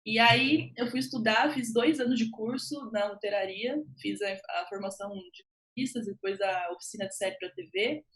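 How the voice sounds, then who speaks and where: A woman with a Brazilian accent speaking as a guest writer on a panel, telling her story informally and acting out remembered remarks in an animated way. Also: a little high, normal speed, few pauses, rough recording. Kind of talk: conversational personal account on a panel.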